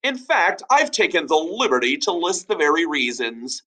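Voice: mid-range voice, big pitch swings, moderate pace, pushed and bright, pitched above the performer's own voice. A narrator doing a smug, prim schoolboy.

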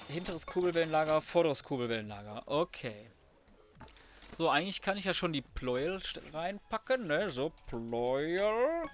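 A man giving casual, slangy gameplay commentary as a let's player, thinking aloud to himself. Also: fairly high pitch. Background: background music.

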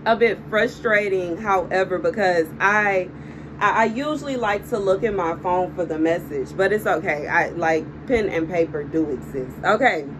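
A woman with a Southern country accent speaks to her phone camera from an indoor room. Her delivery is emphatic and repetitive as she gestures, and a clear background hum sits under her voice. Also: lowish voice, moderate pace. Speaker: prophetic video host. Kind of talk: casual spoken prophetic message. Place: indoor room before window blinds. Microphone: phone camera on a desk. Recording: clear room noise behind.

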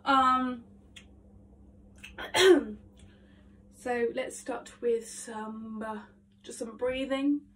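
A young woman vlogging her vocal warm-up at home, chatty and full of ums, her voice nasal and congested from hay fever. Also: high-pitched. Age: young adult. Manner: chatty, self-talking, lots of ums. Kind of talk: casual vocal warm-up vlog. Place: small attic bedroom at home. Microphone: phone camera across the room. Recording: faint room noise, distant from phone.

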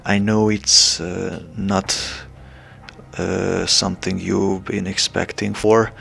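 A young man from Hungary with a Hungarian accent, making a hesitant, casual announcement to his channel's viewers, pausing often with fillers. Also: low-pitched, flat delivery, vocal fry, fairly bright tone. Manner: hesitant, many filler pauses. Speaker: channel creator.

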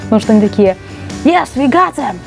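A woman's voice: sits high, expressive, fast, gravelly, over music. A young woman co-hosting a live stream, chatting playfully and reading out giveaway winners. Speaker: stream co-host. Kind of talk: casual live stream chat.

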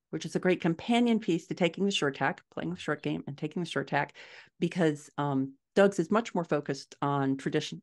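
A middle-aged woman answering interview questions conversationally, telling stories in an animated way on a video call from a room at home. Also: deep voice. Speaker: podcast guest, indie author. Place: small furnished room at home, indoors.

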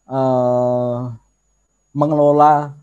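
A man's voice: mid-range voice, flat delivery, drawn-out words, dark tone, smooth, muffled recording. A man with a Javanese accent teaching an online workshop over a video call, explaining patiently and often addressing his listeners directly. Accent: Javanese Indonesian. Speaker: workshop instructor.

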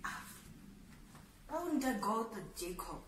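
A woman's voice: mid-range voice, sing-song, a little slow. A woman playing a scolding, defiant wife. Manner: scolding, nagging questions. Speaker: wife in a skit. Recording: echoey room, far from mic, loud noise.

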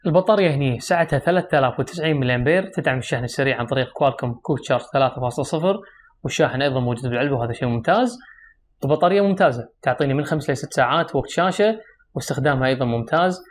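A man's voice: mid-range voice, very fast, a little hiss or hum. A young man with a Kuwaiti Arabic accent, reviewing a phone and explaining its features straight to viewers, seated indoors close to the camera. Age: young adult. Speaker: tech reviewer. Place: small indoor room, seated at a desk.

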